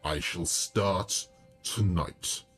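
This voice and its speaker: A man voicing a huge, ancient, wrathful smoke demon in a horror story, with a deep booming voice and slow, solemn, menacing delivery. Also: fairly bright tone, breathy edge, faint music behind, full character voice. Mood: wrathful, judging. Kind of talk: horror audio story acting.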